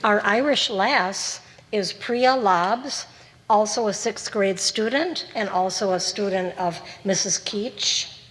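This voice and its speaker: A woman's voice: mid-range voice, slow, a bit bright, big-room sound, poor audio. A woman emceeing a community stage show, introducing young performers. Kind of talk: stage announcements and singing.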